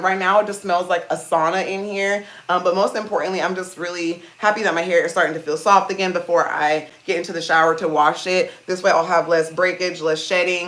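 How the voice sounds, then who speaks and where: A woman with a deep voice hosting a casual hair-care livestream, chatting to viewers and calling them "you guys" while she steams her hair. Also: a bit bright. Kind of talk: casual live-stream chat reading comments. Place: indoors at home, seated on a small chair. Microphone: phone.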